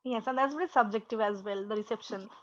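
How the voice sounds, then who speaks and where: A woman's voice: medium pitch, quick pace, dull audio. A woman moderating a conference session, speaking courteously and formally to thank the speaker.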